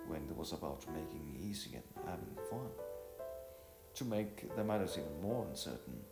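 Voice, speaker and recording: A man narrating a novel aloud in a halting, word-repeating delivery. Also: low voice, gravelly. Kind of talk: reading an audiovisual novel aloud. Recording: roomy echo with music behind. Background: music.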